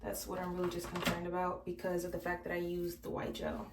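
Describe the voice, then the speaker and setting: A woman's voice: fairly low pitch, monotone, a little fast, slight background noise. Chatty young woman in a roomy room. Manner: chatty, talking to camera while styling. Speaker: beauty vlogger. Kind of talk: casual hair tutorial talk. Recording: roomy, from a distance.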